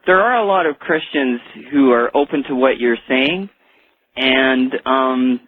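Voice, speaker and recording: A man's voice: mid-range voice, a little slow, no echo. A man calling a radio show, speaking in a hesitant, rambling, casual way. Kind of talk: casual phone-in conversation. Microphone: telephone. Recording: tinny phone line.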